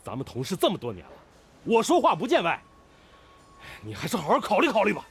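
An actor playing a blunt, cautious and excitable steel-mill colleague in a Chinese radio drama. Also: male voice, high voice, fast talker, creaky, exaggerated voice. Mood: doubtful, challenging.

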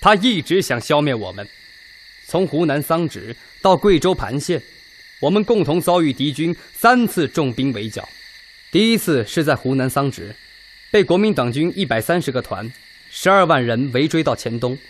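Earnest Red Army commissar. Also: male voice, fairly high pitch, sing-song, a little hiss or hum. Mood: grave, earnest. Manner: measured, serious, methodical. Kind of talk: radio drama acting.

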